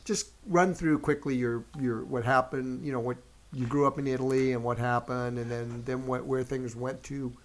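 A man hosting an interview, asking questions in a halting way with many stumbles and restarts. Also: medium pitch, steady tone, rough recording. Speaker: interviewer host. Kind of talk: casual interview chat.

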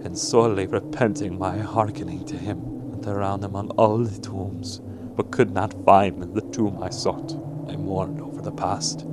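A man playing a remorseful king's son who recounts his past in formal, measured, theatrical audio drama narration. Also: fairly low pitch, background noise, natural voice. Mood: mournful. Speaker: storyteller. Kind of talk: scripted audio drama narration of an archaic tale. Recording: music behind the voice. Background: music.

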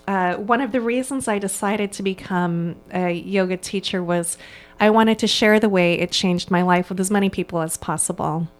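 A woman's voice: medium pitch, moderate pace. A woman in her thirties from Hong Kong, a yoga studio owner answering interview questions with eager, earnest enthusiasm and a few ums and restarts.

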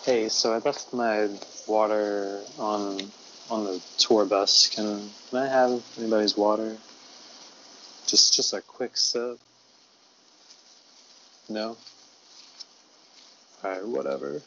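A man playing a deadpan male ghost-tour guide who talks low and quietly, rambling with lots of fillers. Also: takes it slowly, long pauses, lo-fi sound, a little hiss or hum.

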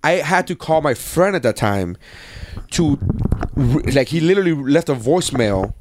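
A man's voice: a little high, lively intonation, moderate pace, background noise. A man hosting a podcast, telling stories in loose, casual, profane banter. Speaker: podcast host.

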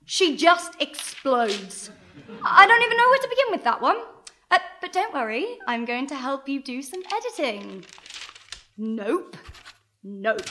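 An actress playing a feisty, sarcastic adventure heroine in a radio play, speaking bluntly and indignantly. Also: female voice, sits high, sing-song, measured pace, bright, forceful tone, a little hiss or hum, full character voice.

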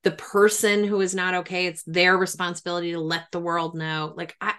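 A woman's voice: on the low side, flat delivery, brisk, a bit bright, clean tone. A woman interviewing on a podcast, talking in an earnest, emphatic and explanatory way. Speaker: podcast interviewer, grief professional. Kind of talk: reflective interview talk.

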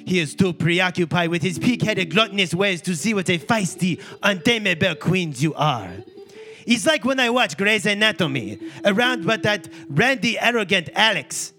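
An arrogant French tomcat in love, pitched high and bright. The French accent is thick with florid, grandiose seduction, delivered as a comic stage reading of love letters. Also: male voice, lively intonation, moderate pace, soft background music. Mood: indignant. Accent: put-on French. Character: amorous, arrogant male French cat, grandiose suitor.

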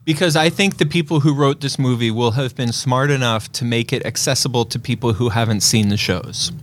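A man's voice: medium pitch, brisk. A man co-hosting a casual film discussion podcast, giving his opinions in a confident, conversational way. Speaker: podcast co-host. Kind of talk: casual movie trailer discussion.

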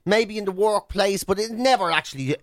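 Irish male radio co-host, high-pitched, self-mocking and joking, dry close-mic sound. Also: brisk, a bit bright, no room sound. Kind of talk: casual comic radio banter.

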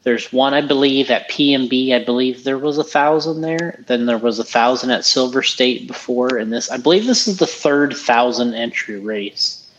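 A man's voice: mid-range voice, moderate pace, lo-fi sound. A man co-hosting a casual podcast, chatting about an RC race in a loose, rambling way with lots of restarts. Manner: rambling, restarts often, easygoing. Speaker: podcast co-host. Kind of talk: casual chat, race commentary.

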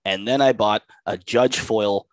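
A man's voice: fairly low pitch, fairly even intonation, a little slow, no echo. A man co-hosting a podcast, talking in a casual chat, exasperated and ranting, with a stuffy head cold. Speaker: podcast co-host.

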